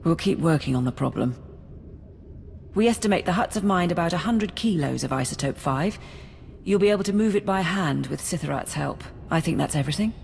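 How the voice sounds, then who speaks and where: An actor playing a deferential Imperial operations officer in a video game, giving a brisk, orderly, businesslike briefing. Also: medium pitch, animated, gravelly, a little mellow, in a large hall, a little hiss or hum.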